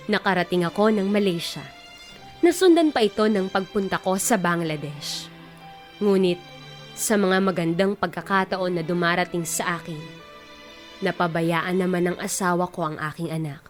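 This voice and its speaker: A woman's voice: on the low side, natural voice. A woman playing a humble, troubled Filipina working mother who acts and narrates in a radio drama. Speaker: drama lead and first-person narrator.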